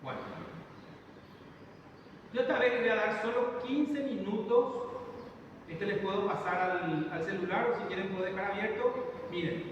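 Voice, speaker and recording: A high-voiced man lectures a class, walking students through the analysis step by step and quizzing them as he goes. He sounds far from the recorder, in a big echoing room with a long reverb tail and steady background noise. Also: moderate pace, pauses often, dull audio. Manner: explains step by step, asks the class questions. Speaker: psychometrics teacher.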